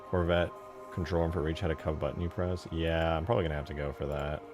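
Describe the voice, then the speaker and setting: Deep-voiced man chatting casually over music. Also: level tone, fast. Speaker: game modder streaming his work. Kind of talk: casual livestream chat while working. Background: background music.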